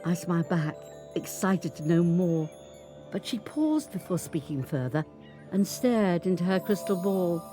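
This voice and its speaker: An actress playing an 85-year-old grandmother from Birmingham, who looks back on her life in a measured, unhurried monologue. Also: on the low side, lively intonation, over music. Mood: reflective. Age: older. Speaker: narrator. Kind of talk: scripted dramatic monologue.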